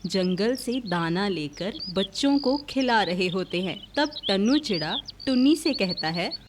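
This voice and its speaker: A woman narrates a scripted children's tale in a mid-pitched voice. She reads as a storyteller, with chirping birds and clear noise behind her. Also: animated, measured pace.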